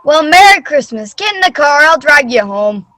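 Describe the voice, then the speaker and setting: A woman plays a blunt, folksy buddy in a radio play. The voice is very high and bright, exasperated but caring, recorded dry. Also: big pitch swings, moderate pace, dry recording, exaggerated voice. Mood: exasperated, friendly. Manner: folksy, exclamatory. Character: blunt, folksy male friend, concerned and exasperated. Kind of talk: old-time radio drama acting.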